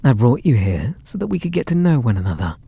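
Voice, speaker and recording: An actor with a British accent playing a possessive, lovestruck kidnapper in an ASMR roleplay, sweet and doting in a theatrical, intimate way. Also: male voice, medium pitch, sing-song, fast talker, vocal fry, warm tone, slightly rough, heavily acted. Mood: doting, excited. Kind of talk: ASMR roleplay audio drama.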